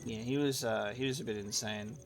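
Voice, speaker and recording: A man's voice: on the low side, steady tone, a little fast, music in the background. A man talking casually as a game streamer, in a rambling, informal way with some casual swearing. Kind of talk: casual live-stream chat and game reaction.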